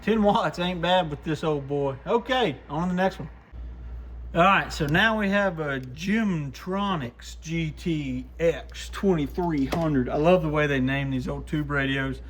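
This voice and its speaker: Guy with kinda higher voice muttering thru a hands-on gear test, noticeable background noise and clicks. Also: unhurried, a bit bright. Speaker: radio shop host testing gear. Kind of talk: casual hands-on demonstration, thinking aloud.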